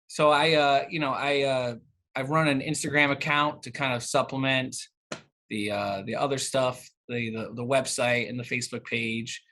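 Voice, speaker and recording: A man's voice: mid-range voice, steady tone, normal speed, pushed and bright, a little hiss or hum. A man in his thirties being interviewed in a casual, conversational way, with hesitant, rambling phrasing. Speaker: interview guest, cassette exchange organiser.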